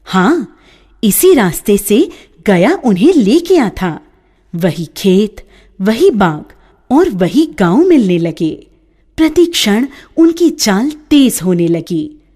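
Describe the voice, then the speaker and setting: A woman narrating a children's story in a scripted storybook style, with an expressive, lilting delivery. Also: mid-range voice, slow pace.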